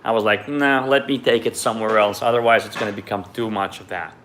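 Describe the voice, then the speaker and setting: A man's voice: lowish voice, fairly even intonation, quick pace, a bit bright, slight background noise. A man from Ukraine, raised in Israel, reads viewer questions aloud on a live stream and answers them in a loose, candid way.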